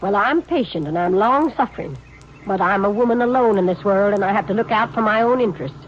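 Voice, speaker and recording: A prickly, penny-pinching spinster who runs a rooming house, voiced by an actress in a vintage radio drama. Her drawl is folksy and indignant, her pitch lively and swooping. The sound is dull and hissy like an old broadcast. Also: fairly quick, close to an everyday voice. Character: fussy spinster boarding-house owner, thrifty and suspicious. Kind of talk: 1950s radio drama acting.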